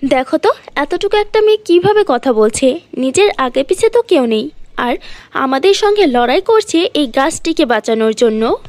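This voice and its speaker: A practical, scornful village aunt acted by a woman scolds in a very high voice. Her delivery is dismissive, in a dry cartoon fairy-tale recording. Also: sing-song, normal speed, darkish tone, dry recording. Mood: indignant, scolding.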